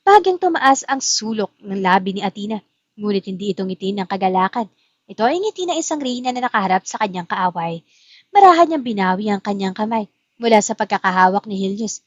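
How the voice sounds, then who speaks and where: A woman's voice: mid-range voice, big pitch swings, brisk, no room sound, muffled recording. A woman as narrator, reading a scripted love story in dramatic style. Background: music.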